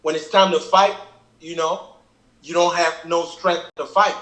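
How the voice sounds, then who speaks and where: A man's voice: on the high side, fairly even intonation, measured pace, a bit bright. Man, earnest and explaining. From Cameroon. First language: Kenyang. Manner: earnest, explains at length, keeps asking "you feel me". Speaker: rapper explaining his lyrics. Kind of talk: casual reaction chat. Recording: echoey room.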